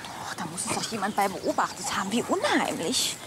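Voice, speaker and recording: An actress playing a naive, chatty camper, guileless and blurting, in a German radio comedy drama. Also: female voice, medium pitch, very animated, brisk, noisy background, lightly acted. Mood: conspiratorial. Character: naive, chatty woman camper, playful accomplice in mischief.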